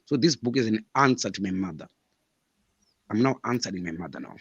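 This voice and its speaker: A man's voice: lowish voice, expressive, brisk, vocal fry, breathy edge, no room sound, highs cut off. A man in his thirties from Zimbabwe, a guest author answering interview questions in an earnest, emphatic way and repeating his points. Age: 30s.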